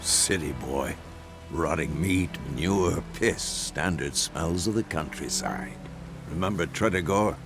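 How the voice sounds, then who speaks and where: An actor playing a grizzled older monster hunter, a wry mentor with a gravelly voice who teases dryly. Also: male voice, low voice, takes it slowly. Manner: dry, teasing, matter-of-fact. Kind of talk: video game voice acting. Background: game music.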